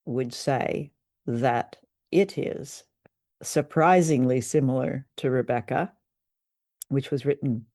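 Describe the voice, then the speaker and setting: A woman co-hosting a conversational review show, speaking in measured, hesitant phrases. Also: very deep voice, mellow, no echo, studio quality. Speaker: podcast co-host. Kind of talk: conversational radio drama review.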